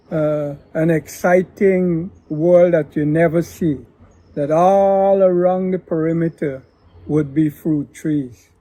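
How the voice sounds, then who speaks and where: An older man from Guyana, a pastor telling his daughter his life story in a slow, deliberate way. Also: a little high, mellow, no echo. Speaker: pastor and father of the host. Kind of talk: reflective family interview.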